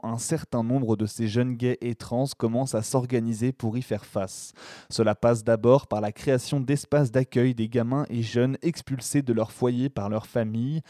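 A man's voice: mid-range voice, mellow, dry recording, studio quality. A man reading a scripted documentary narration as a radio presenter. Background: music.

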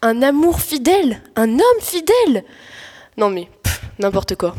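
A woman's voice: high-pitched, very animated, unhurried, a little mellow, heavily acted. A young woman playing a bored, melodramatic wife who whines to her best friend in slangy comic audio fiction, with an exasperated drawl. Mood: dismissive. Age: teenager or young adult. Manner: whiny, exasperated, drawling complaints. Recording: echoey hall, live stage performance.

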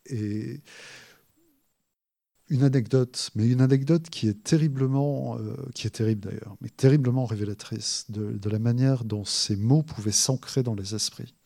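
A man, an author, explaining his subject in a hesitant way, with many filler pauses and restarts. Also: medium pitch, vocal fry, warm tone, no echo, studio quality. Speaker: author discussing his book. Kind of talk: thoughtful spoken explanation.

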